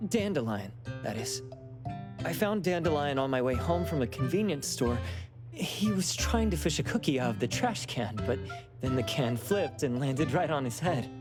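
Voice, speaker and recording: Low-voiced, earnest young game heroine. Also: female voice, animated, normal speed. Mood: wistful. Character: earnest, gentle young woman searching for her lost dog. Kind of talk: video game voice acting. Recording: music with instruments behind the voice.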